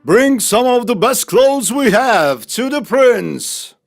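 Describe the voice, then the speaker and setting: A man's voice: very high-pitched, big pitch swings, slow pace, gravelly, full character voice. A man playing a regal, stately king in a children's fairy tale cartoon. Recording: long hall reverb, faint music behind.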